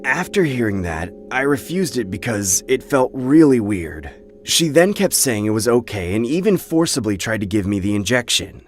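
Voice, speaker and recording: A man's voice: mid-range voice, sing-song, moderate pace, faint music behind. A man narrating a scripted horror story in the first person, with a dramatic storytelling manner.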